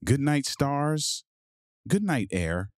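A man hosting a podcast and reading a children's picture book aloud in a measured, deliberate bedtime-story delivery. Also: mid-range voice, sing-song, dry recording, studio quality.

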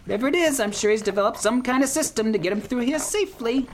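A man's voice: very high voice, quick pace, a little hiss or hum. A man playing a rambling, wisecracking horseback traveler in a comic radio play. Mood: cautious, wry. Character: wisecracking, excuse-making male traveler on horseback.

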